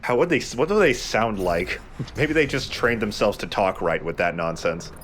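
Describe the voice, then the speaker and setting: Low-voiced man explaining a video game. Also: animated, moderate pace, fairly bright tone. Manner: casual, explanatory, profane. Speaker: let's play host playing the game. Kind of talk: casual gameplay commentary. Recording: faint background noise.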